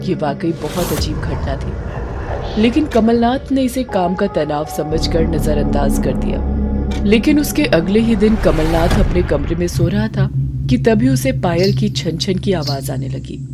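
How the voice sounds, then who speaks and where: A woman narrating a scripted horror story in a dramatic, suspenseful way, slowing for emphasis. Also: lowish voice, big pitch swings. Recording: loud music under narration.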